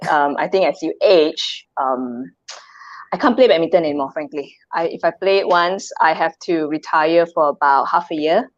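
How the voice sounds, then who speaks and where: A chatty Malaysian woman in her thirties, talking easily as a guest on a video call. Her voice sits a little low and sounds dry and dull, like a lo-fi line. She laughs often and throws out rhetorical questions. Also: no room sound. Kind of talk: casual chat.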